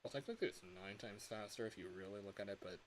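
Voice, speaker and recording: A man's voice: on the low side, fast. Young man rambling over a hissy stream. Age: early 20s. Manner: rambling, self-deprecating, giggly, excitable. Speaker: livestreamer and indie game developer. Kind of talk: casual livestream chat. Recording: hissy home livestream audio.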